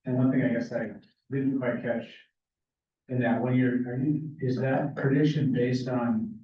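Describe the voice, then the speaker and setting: A man's voice: mid-range voice, level tone, a little slow. A man in the audience asking a question, hesitant and restarting his phrases as he searches for words. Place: lecture hall audience. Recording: distant, dull, echoey hall pickup.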